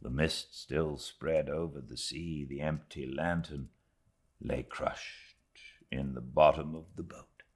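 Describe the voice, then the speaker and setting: A British man giving a dramatic reading of a literary audiobook, theatrical in delivery, moving between swelling intensity and hushed tones. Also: very deep voice, fairly even intonation, takes it slowly, slightly rough. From Britain. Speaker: audiobook narrator.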